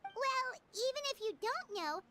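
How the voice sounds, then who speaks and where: Squeaky, very high childlike fairy. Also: female voice, expressive, measured pace, sharp tone, slight background noise, exaggerated voice. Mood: curious, hesitant. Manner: squeaky, talks of herself in third person. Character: tiny childlike female fairy companion, excitable and earnest. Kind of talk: video game voice acting.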